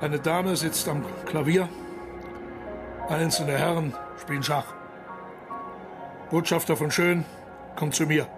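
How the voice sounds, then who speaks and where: Older German Kaiser, pompous, declamatory, radio play, raised pitch. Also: male voice, steady tone, slow, long pauses, over music. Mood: narrating a dream. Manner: pompous, oratorical. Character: German Kaiser, self-important, solemn and rambling monarch. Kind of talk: radio play acting, declaimed speech and anecdote.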